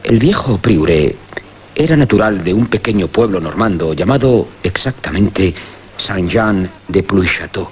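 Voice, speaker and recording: An actor playing an obsessed, excitable man in a horror radio drama. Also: deep voice, measured pace. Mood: fervent, determined. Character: obsessed, excited man bent on righting an injustice. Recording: dull audio with faint noise.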